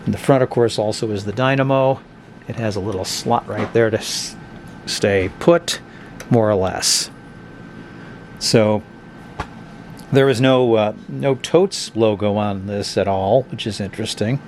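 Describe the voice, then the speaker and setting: A man's voice: fairly low pitch, measured pace, long pauses, a little hiss or hum. A man giving a casual, blunt review of a cheap radio, thinking aloud as he tests it. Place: indoors at a tabletop.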